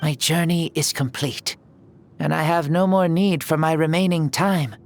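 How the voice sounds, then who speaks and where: A man playing a noble, ancient warrior leader in a video game, speaking in formal, measured oratory with a youthful spirit. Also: fairly high pitch, fairly even intonation. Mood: solemn, generous. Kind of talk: dramatic video game voice acting. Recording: music behind the voice.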